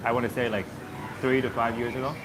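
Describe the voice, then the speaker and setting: A man's voice: medium pitch, flat delivery, fast. A man from Southern Vietnam chatting casually with his viewers as a vlogger, rambling and easygoing with plenty of fillers. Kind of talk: casual chat with live viewers. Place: busy street outdoors. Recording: loud street traffic behind. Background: loud traffic and street noise.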